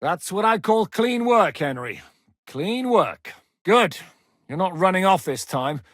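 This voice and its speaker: An actor playing a gruff, blunt one-eyed male commander who swears freely and jokes dryly in a video game. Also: sits high, very animated, measured pace, fairly bright tone, dry recording, clean studio sound, full character voice. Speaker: military commander.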